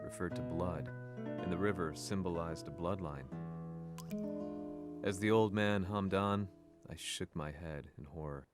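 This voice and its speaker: A man voicing a weary journalist who narrates a video game cutscene in a measured, grim way. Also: sits low. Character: weary male journalist telling his story. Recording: voice-over under loud music.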